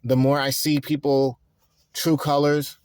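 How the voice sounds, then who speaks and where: A man in his thirties, tired and stressed, talking in a rambling, halting way with frequent restarts in a reflective personal monologue. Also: fairly high pitch, level tone, darkish tone, clear, resonant tone, no echo. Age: 30s. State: tired and worn out. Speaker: vlogger.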